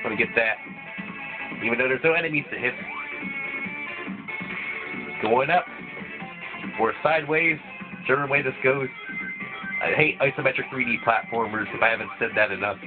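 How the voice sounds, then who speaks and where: Male let's-player, rambling, a bit stuffy from a cold; mid-pitched, lo-fi tinny recording. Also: measured pace, long pauses. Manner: rambling, with frequent restarts. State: voice thickened by a cold. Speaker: let's play gamer. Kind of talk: casual gameplay commentary. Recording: tinny, phone-like lo-fi mic. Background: video game music playing.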